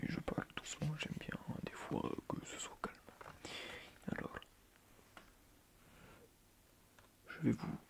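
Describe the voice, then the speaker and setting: A man playing a soft-spoken, quirky, mock-strict schoolteacher in an ASMR roleplay, hushed and breathy, muttering hesitantly and repeating himself. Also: mid-range voice. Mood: quiet, busy. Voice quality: hushed, half-whispered, breathy. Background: computer keyboard typing.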